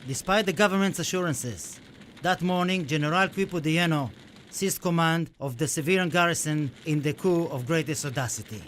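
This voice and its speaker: Haunted poet, acted by a man, over loud noise. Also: fairly high pitch, lightly acted. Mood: grave, anxious. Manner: dramatic, poetic, deliberate phrasing. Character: haunted Spanish poet, a man, recounting fascist terror. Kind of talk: radio play monologue with recited poetry.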